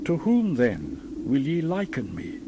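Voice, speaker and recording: A man's voice: medium pitch, wildly swooping pitch, slow pace, vocal fry, noisy background. A man reading the Bible aloud in a dramatized style, with solemn, theatrical, emphatic declamation. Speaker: Bible reader.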